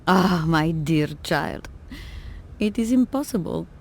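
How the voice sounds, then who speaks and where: A woman playing a gentle, affectionate elderly lady with a fond, genteel manner in a video game. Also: fairly low pitch, lively intonation, slow pace, vocal fry, heavily acted. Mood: fond, wistful. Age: older.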